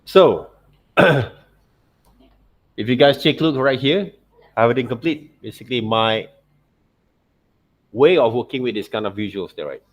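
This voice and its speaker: A man teaching digital illustration in an informal software demonstration, thinking aloud and often checking in with okay and right. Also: mid-range voice, lively intonation, a little slow, pauses often.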